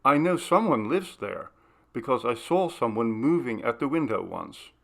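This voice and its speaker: A man's voice: mid-range voice, animated, normal speed, gravelly. A man reading aloud, voicing a curious, dreamy young woman in a ghost story. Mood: wondering. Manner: wondering, gentle.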